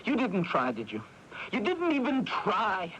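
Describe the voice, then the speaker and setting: An actor playing an anxious, petulant younger brother in a 1980s radio drama, whining and insistent in an agitated way. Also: male voice, sits high, wildly swooping pitch, unhurried, a bit bright, full character voice. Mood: desperate, accusing. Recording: dull, noisy AM radio broadcast.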